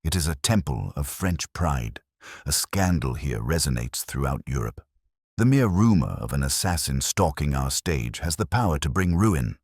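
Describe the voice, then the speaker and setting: A man's voice: very deep voice, sing-song, moderate pace, darkish tone, breathy edge, no echo, clean studio sound, exaggerated voice. A man voicing a weary middle-aged police inspector, solemn and formal, in a storybook reading. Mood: grave warning.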